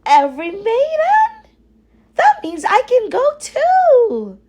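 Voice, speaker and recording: A woman's voice: very high voice, wildly swooping pitch, slow, a little mellow, pitched above the performer's own voice, exaggerated voice. A woman voicing a kind, dreamy young fairy-tale heroine with tender emotion. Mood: hopeful.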